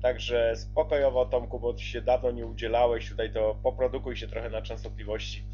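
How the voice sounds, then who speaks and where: A man's voice: medium pitch, flat delivery, fairly quick, lo-fi sound, music in the background. A man chatting casually as a CB radio operator, passing the conversation between stations. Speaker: CB radio operator relaying calls.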